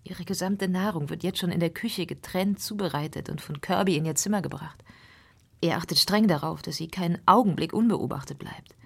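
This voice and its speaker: Troubled Victorian lady, low pitch, husky, earnest, formal, radio drama. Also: fairly quick. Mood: troubled, confiding. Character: anguished Victorian lady of the house, politely confiding. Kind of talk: German radio crime drama acting.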